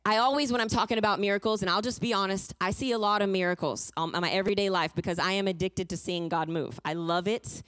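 A woman's voice: medium pitch, fairly even intonation, quick pace, few pauses, a bit bright, clear, resonant tone, dry recording. A woman preaching a fervent sermon, moving between emphatic declarations and a personal testimony told as a story. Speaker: guest preacher.

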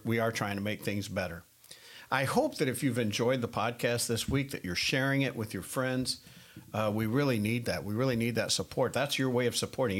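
A man hosting a Bible podcast, chatting casually with many fillers and frequent laughs. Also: lowish voice. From United States. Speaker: podcast host and pastor. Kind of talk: casual chat.

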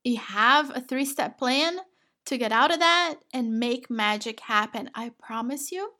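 A woman's voice: a little high, expressive, unhurried, pushed and bright. A woman from Brazil with a Brazilian Portuguese accent, Portuguese her first language, hosting a motivational podcast in an encouraging, affectionate way. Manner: encouraging, affectionate, addresses listener as "my love". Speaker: podcast host and women's business coach.

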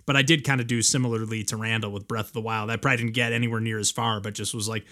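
A man's voice: lowish voice, flat delivery, very fast, bright, forceful tone. A man co-hosting a podcast, chatting casually about video games. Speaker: podcast co-host. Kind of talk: casual video game chat.

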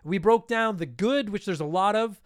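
A man's voice: high voice, dry recording, studio quality. A man in his thirties hosting a retro gaming podcast, stuffy from allergies, rambling and swearing casually. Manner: fast, rambling, profane. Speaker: podcast host. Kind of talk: casual podcast intro monologue.

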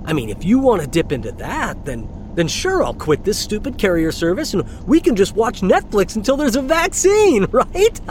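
An actor playing a young delivery driver, a nervous, halting, chatty man on a phone call in an audio drama. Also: high voice, sing-song, darkish tone. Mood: exasperated. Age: young adult. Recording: clear vehicle and outdoor ambience noise.

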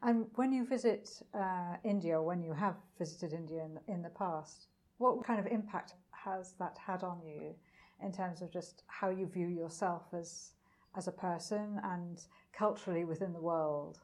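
A woman's voice: on the low side, moderate pace, darkish tone. A woman hosting a podcast interview, asking questions and murmuring attentive agreement. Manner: attentive, frequent backchannel murmurs. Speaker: podcast host.

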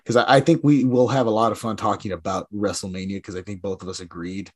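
A man co-hosting a podcast in casual chat, his voice raspy from a weekend of yelling, rambling and trailing off into mumbles. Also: lowish voice, fairly even intonation, fast. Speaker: podcast co-host.

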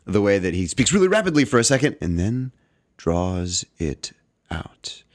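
A man's voice: fairly low pitch, sing-song, moderate pace, no room sound, clean studio sound. A young man co-hosting a podcast, chatting casually and joking with playful vocal swoops. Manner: joking, playful, sometimes swoops his voice for effect. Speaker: podcast co-host.

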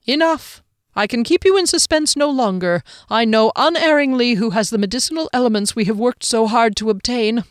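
Lady doing a smug little halfling guy from a fantasy audiobook, pompous n wordy, pitched up a bit, very dry sound. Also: fairly quick, no room sound, lightly acted. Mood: smug, dramatic. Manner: pompous, verbose, formal diction. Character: smug, theatrical male halfling thief, wordy and dignified.

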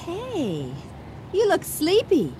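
An actress in a radio drama playing a nervous, superstitious wife who is fearful and pleading. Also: female voice, very high-pitched, huge pitch swings, drawn-out words, warm tone, exaggerated voice. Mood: concerned. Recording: 1980 radio drama with prominent music underneath.

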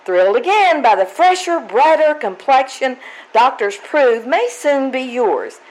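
A woman's voice: sits high, animated, measured pace, darkish tone, poor audio. A woman playing a gushing, emphatic announcer reading a vintage 1940s-style radio commercial.

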